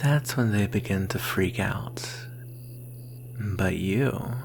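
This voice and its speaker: Low, very slow, creaky vampire performer acting. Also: slight background noise, exaggerated voice, low-quality recording. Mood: smug, curious. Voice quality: breathy, often dropping to whisper, creaky. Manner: slow, teasing, predatory drawl. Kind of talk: ASMR roleplay, slow close monologue.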